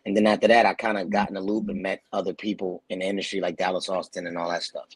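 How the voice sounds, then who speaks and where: Husky-voiced man telling stories in a laid-back way. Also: deep voice, level tone, fast. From New Jersey. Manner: laid-back, laughing storyteller with slang. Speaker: guest actor. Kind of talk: casual storytelling interview. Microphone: video call.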